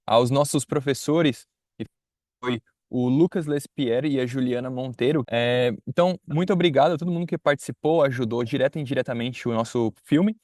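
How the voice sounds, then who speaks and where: A man's voice: medium pitch, normal speed, darkish tone, no room sound, studio quality. A young man with a Brazilian accent giving informal interview answers, hesitant and often rephrasing himself. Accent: Brazilian Portuguese. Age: young adult. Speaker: student filmmaker interviewee.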